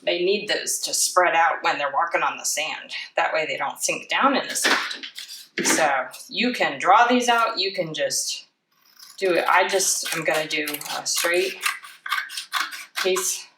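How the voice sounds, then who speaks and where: A woman with a slightly low voice walks children through a craft in a chatty, playful way, tossing in self-deprecating asides. She is heard at a distance from the microphone in an echoey room. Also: unhurried. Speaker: children's library storytime presenter. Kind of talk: casual craft demonstration for children. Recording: roomy, distant mic, clear background noise.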